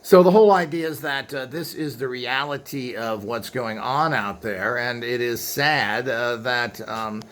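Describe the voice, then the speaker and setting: A man's voice: mid-range voice, measured pace, few pauses, a bit bright. Male presenter, casual and off the cuff, blunt and griping, mid-range voice, some background noise. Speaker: presenter demonstrating vintage equipment. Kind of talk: casual unscripted show-and-tell with opinionated rambling.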